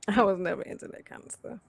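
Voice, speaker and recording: A woman's voice: medium pitch, lively intonation, normal speed, creaky, slightly rough, dry recording. A woman guest chatting casually, speaking in a candid, informal way. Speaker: show guest. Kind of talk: casual chat.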